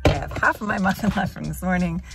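A woman's voice: fast, over loud music. A woman in her thirties, chatting upbeat to the camera held at arm's length as she vlogs her day. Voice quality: deep morning voice. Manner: chatty, upbeat, addresses viewers directly. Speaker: vlogger. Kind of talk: casual vlog narration. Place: car, office, outdoors. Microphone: handheld camera at arm's length.

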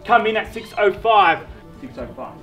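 An Australian man in his thirties runs a live property auction, pushing bids along with punchy repetition. His voice sits high for a man. It sounds distant and echoey, with music playing underneath. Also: creaky, a bit bright. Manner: fast persuasive bid calling, punchy repetition. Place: outdoor driveway of a house. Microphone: far camera.

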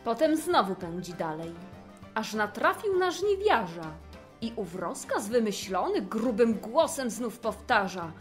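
A woman narrating a rhymed fairy tale in an expressive, theatrical storytelling manner. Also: fairly high pitch, a little slow, soft background music.

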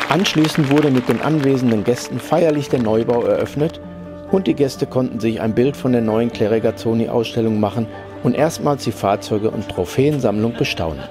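A man reading a scripted report voice-over in an even, measured way. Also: medium pitch. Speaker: narrator. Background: background music.

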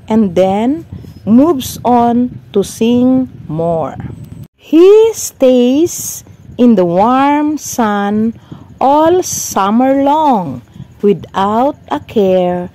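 A woman teacher reading a script aloud for reading practice, deliberate and phrase by phrase. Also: on the high side, mellow, slight background noise, poor audio. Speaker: teacher and narrator.

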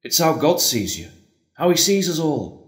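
Earnest patient priest taking confession, measured, consoling, audio play, echoey room. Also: male voice, fairly high pitch, very animated. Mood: gentle, firm. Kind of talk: audio drama acting.

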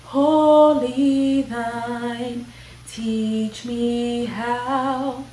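High-voiced woman singing a hymn. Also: fairly even intonation, drawn-out words, warm tone, slight background noise. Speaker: singer. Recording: roomy echo on the voice.

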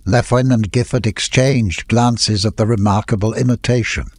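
Male audiobook narrator: lowish, husky, scripted fantasy storytelling. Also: steady tone, normal speed, no echo.

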